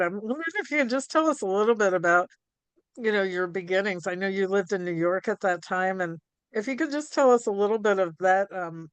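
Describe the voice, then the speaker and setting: Halting woman hosting a video call. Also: fairly low pitch, clean tone, no echo. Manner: halting, with many ums and restarts. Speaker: host. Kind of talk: live video-call conversation.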